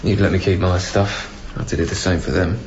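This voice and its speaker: A man's voice: low voice, fairly even intonation, moderate pace, natural voice. An actor playing a devoted, hopeful young father and former club DJ in a naturalistic radio drama, with a tender, playful manner. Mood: playful, upbeat. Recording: dull lo-fi recording, clear background noise.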